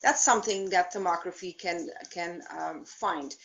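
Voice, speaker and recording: A woman from the Netherlands with a Dutch accent, a guest speaking on a video call and explaining her field step by step, with frequent pauses. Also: on the low side, gravelly, a bit bright, dull audio. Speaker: thermography practitioner, guest. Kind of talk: explanatory interview.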